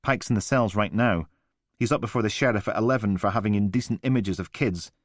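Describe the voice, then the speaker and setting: A man's voice: on the low side, quick pace, no echo, clean studio sound, lightly acted. A man voicing a pushy male detective who talks urgently and insistently.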